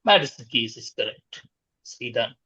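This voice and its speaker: A man's voice: mid-range voice, animated, moderate pace, bright, forceful tone, breathy edge, dry recording. A man acting as quiz master, reading out questions and scoring answers over a dull-sounding online call.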